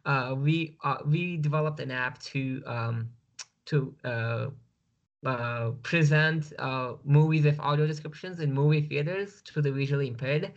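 A man's voice: on the high side, a little slow, muffled recording. A man from Turkey with a Turkish accent, a guest speaking over a video call, hesitant and full of fillers.

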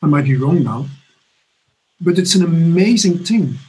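A man in his fifties from Belgium giving a personal recovery testimony from his apartment, halting and earnest with many restarts. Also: on the high side, animated, dark tone, low-quality recording. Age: 50s. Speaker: recovery conference speaker. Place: home apartment.

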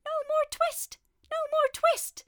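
A woman voicing a chorus of tiny cheeky mouse tailors, chanting nursery rhymes in a high sing-song. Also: measured pace, sharp tone, full character voice. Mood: defiant.

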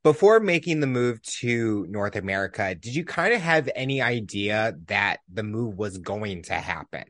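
A man's voice: lowish voice, expressive, normal speed, hardly any pauses, a bit bright, no room sound. Man hosting a podcast, dry close sound. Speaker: podcast host. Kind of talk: podcast interviewing.

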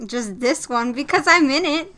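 High, sharp sarcastic woman. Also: animated, normal speed. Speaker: guest. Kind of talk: casual chat.

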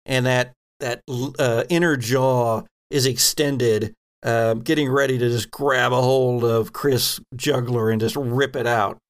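A man narrating a tabletop game as game master, with a halting, descriptive storytelling manner. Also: mid-range voice, fairly even intonation.

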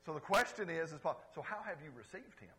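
A pastor preaching to his congregation in a lively, conversational way, calling out for responses and swinging between loud exclamations and quiet asides. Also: a little high, fast. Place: church service before a congregation.

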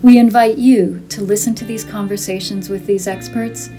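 A woman's voice: medium pitch, steady tone, moderate pace, warm tone, faint music behind. A woman announcer delivering a scripted introduction for a podcast. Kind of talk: scripted narration.

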